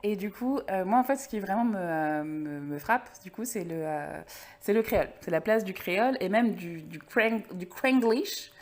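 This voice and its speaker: A woman hosting a casual interview, phrasing questions informally and haltingly and chiming in with encouragement. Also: medium pitch, animated, a little mellow. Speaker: interviewer, podcast host.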